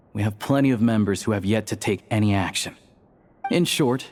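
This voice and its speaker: A man's voice: on the low side, a little mellow, slight background noise, natural voice. A man voicing an easygoing, level-headed young member of a secret society in a video game, explaining things casually.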